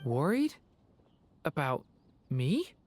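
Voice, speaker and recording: An earnest, apologetic young boy from a fantasy video game. The voice sits fairly low for a female one and moves expressively, hesitant and gentle. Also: big pitch swings, drawn-out words, a little hiss or hum, heavily acted. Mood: remorseful, moved. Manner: hesitant, soft-hearted.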